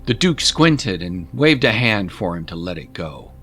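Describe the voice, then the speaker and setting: A man's voice: low-pitched, sing-song, measured pace, fairly bright tone. A man narrating a scripted story as an audiobook narrator, in a dramatic storytelling style. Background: music.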